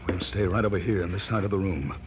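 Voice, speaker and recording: A man's voice: deep voice, fast, lightly acted. An actor playing a resolute young man in old-time American radio horror, with urgent dramatic delivery. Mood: tense. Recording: very noisy, muffled vintage radio recording.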